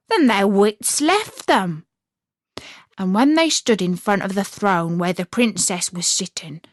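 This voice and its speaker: A woman narrating a scripted fairy tale as a storyteller, in an expressive, measured storybook manner. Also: medium pitch, no room sound, clean studio sound.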